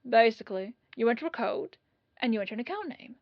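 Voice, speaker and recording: A woman's voice: on the high side, expressive, fast, dry recording, dull audio. A woman playing a plain-spoken, breezy police detective lieutenant in an audio roleplay, talking in a casual, colloquial way. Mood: casual, explaining.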